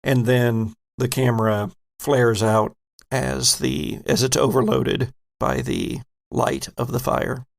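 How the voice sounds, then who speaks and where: Gravelly guy running a tabletop game, slow and measured. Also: mid-range voice, fairly even intonation. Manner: measured, descriptive. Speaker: game master.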